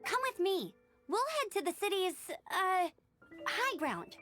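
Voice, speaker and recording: A woman's voice: very high-pitched, very animated, unhurried, a bit bright, full character voice. An actress playing a perky, earnest young female knight guide in a video game. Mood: cheerful. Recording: game music under the voice.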